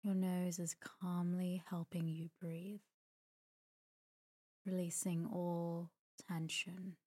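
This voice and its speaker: Slow, breathy woman guiding sleep meditation. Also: on the low side. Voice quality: soft, breathy, near-whisper at times. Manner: calm, soothing, gentle, with long pauses. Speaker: meditation guide, podcast host. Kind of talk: guided sleep meditation, slow scripted narration.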